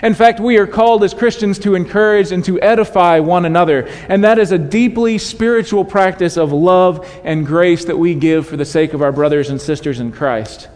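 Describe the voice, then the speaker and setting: A man's voice: high voice, normal speed, few pauses, darkish tone. A man preaching a sermon to his congregation in a conversational way with light humor. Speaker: pastor. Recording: long hall reverb.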